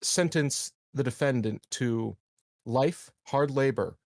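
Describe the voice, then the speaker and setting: A man improvising formal speech in a tabletop game, in a halting way. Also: mid-range voice, gravelly, darkish tone, no echo, studio quality.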